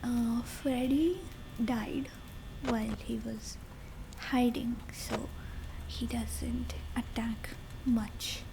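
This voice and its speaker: An Indian-accented woman speaks in a soft, whispered ASMR style, pitched a little high. She chats as a girlfriend would while playing a game, with clear background noise behind her. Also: steady tone, very slow. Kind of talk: whispered ASMR roleplay chat over gameplay.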